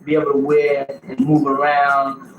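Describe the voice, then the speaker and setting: A man's voice: medium pitch, monotone, normal speed, noisy background. A man recalling events in a documentary interview, speaking earnestly and at length. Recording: echoey room with music underneath.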